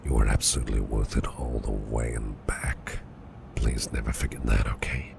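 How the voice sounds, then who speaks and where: A man playing a caring, protective businessman boss in an ASMR roleplay, speaking in a soothing, reassuring, breathy half-whisper. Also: a bass voice, little pitch movement, moderate pace, background noise, full character voice. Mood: tender, reassuring. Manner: soothing, reassuring, affectionate, gently murmured. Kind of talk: soft ASMR roleplay, personal attention.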